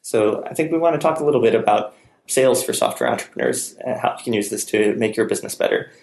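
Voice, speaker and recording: A man's voice: lowish voice, lively intonation, fast, vocal fry, a little mellow, echoey room. A man hosting a casual podcast, chatty and self-deprecating, talking at length about his work. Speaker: podcast host. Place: room in Palo Alto, California.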